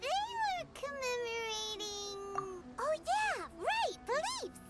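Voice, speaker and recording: A woman voicing a tiny, excitable, childlike fairy companion in an anime game, with a squeaky, bubbly, curious delivery. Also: slow pace, exaggerated voice. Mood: curious, chatty. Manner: bubbly, exclamatory, speaks of self in third person. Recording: music playing behind the voice.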